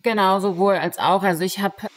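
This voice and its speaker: A young woman whose first language is Turkish, a lawyer answering interview questions in a relaxed, chatty way. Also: medium pitch, a little fast. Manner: relaxed, chatty, full of fillers like "genau". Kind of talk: casual conversation.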